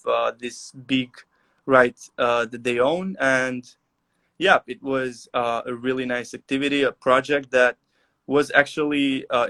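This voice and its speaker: Young man, halting answers. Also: medium pitch, fairly bright tone. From Albania. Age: young adult. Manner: halting, many "uh" and "um" pauses. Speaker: guest. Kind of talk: casual interview answers. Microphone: video call.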